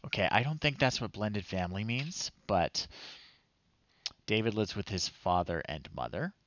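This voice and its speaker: A man's voice: lowish voice, lively intonation, moderate pace, dry recording, dull audio. A man in his thirties doing casual, wry livestream commentary, chatting to himself and reading screen text aloud as he plays. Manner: wry, chatty, thinking out loud. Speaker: livestream host.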